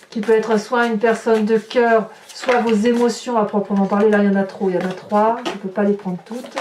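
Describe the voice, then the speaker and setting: A woman giving a tarot reading to her viewers, speaking slowly and emphatically in a reassuring, direct way. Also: a little high, a little mellow, recorded from a distance, a little hiss or hum. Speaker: tarot reader. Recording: roomy, from off-mic.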